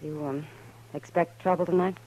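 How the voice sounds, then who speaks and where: A woman's voice: on the low side, steady tone, normal speed, darkish tone, slight background noise, lightly acted, poor audio. A worldly, watchful saloon woman of the Old West, played by an actress, with an American accent. She speaks plainly and confidingly, in understated old-time radio drama style. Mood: concerned. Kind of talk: 1950s radio western acting.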